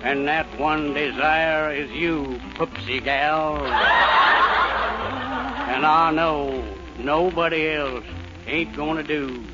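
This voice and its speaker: A man's voice: a little high, steady tone, slow pace. A man reciting a sappy, folksy comic love-song parody in 1940s radio style. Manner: folksy, mock-tender. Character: drawling, sappy lovestruck crooner reciting lyrics. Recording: muffled old radio recording, music behind.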